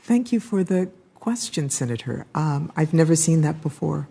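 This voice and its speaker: Casting: older American female official on the witness stand, mellow, raspy, measured and firm. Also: low voice, animated. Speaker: ambassador and chief diversity officer testifying as witness. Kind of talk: formal testimony. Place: congressional hearing room.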